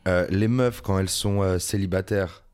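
A man's voice: deep voice, fairly even intonation, normal speed, a little mellow, smooth. A man in a casual podcast chat, emphatic and joking as he lays out his views.

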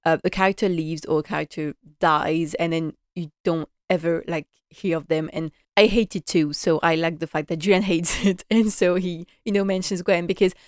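A woman's voice: fairly low pitch, fairly even intonation, moderate pace, hardly any pauses, no echo, clean studio sound. A young woman chatting through a casual episode recap, enthusiastic and full of "like" and "you know" fillers. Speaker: podcast host recapping a TV drama.

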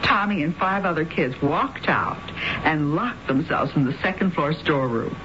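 Breezy, lively housewife. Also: female voice, sits low, moderate pace. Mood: breezy, wry. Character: brisk, wry housewife friend, mother of a schoolboy. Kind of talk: 1970s radio drama acting. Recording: dull, noisy radio recording.

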